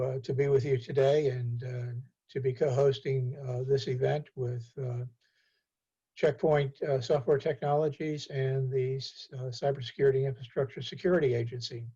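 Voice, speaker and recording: A man hosting a virtual roundtable, introducing speakers with frequent filler pauses. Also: mid-range voice, slightly rough. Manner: many filler pauses, steady and cordial. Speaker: host and executive director. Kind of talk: event introduction. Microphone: video call. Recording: muffled video call audio.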